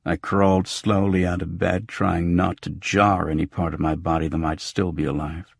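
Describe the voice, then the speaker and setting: A man narrates a noir audiobook in a low register with a gravelly, creaky edge. His scripted first-person delivery is dramatic, swelling for emphasis. The sound is close and dry, with very little room. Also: little pitch movement, normal speed, no room sound.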